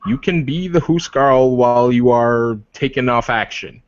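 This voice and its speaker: A man's voice: medium pitch, lightly acted. A man playing a protective, proud werewolf warrior leader in a tabletop game, speaking in emphatic declarations. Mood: firm, earnest. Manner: slow, emphatic, declarative speech. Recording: roomy, dull lo-fi microphone.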